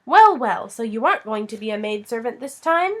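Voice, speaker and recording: A woman's voice: on the high side, a little fast. Woman playing a bold, cheeky young storybook girl. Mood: teasing. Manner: exclaiming, teasing, shrieking when excited.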